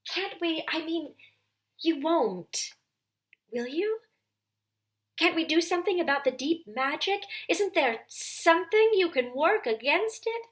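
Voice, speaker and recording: A timid young girl in a storybook acted out by a woman, with a high, hushed voice. She pleads anxiously and haltingly, unsure of herself. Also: expressive, exaggerated voice. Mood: anxious pleading.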